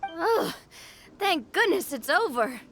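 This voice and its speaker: A woman voicing an earnest, gentle young female adventurer in a video game, speaking in an explanatory way. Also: extremely high pitch, very animated, slow, slight background noise, heavily acted. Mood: relieved, keen. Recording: music behind.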